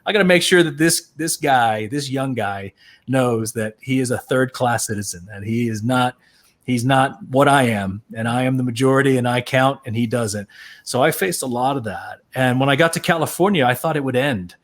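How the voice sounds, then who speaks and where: A man's voice: mid-range voice, moderate pace. A middle-aged man on a discussion panel, an outspoken storyteller who acts out the voices of the people he quotes. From USA. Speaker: actor and panel guest.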